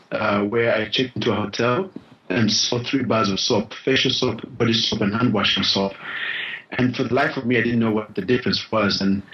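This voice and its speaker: A man from Uganda, a guest in a podcast interview, telling his story earnestly with pauses and restarts over a call line. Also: on the low side. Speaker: guest, founder of a charity. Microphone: phone or call line. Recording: muffled lo-fi call audio.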